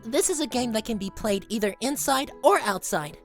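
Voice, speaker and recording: High, blunt cartoon pony girl. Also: animated, normal speed, soft background music. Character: young female pony twin, blunt, breezy, self-assured. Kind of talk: animated audio drama acting.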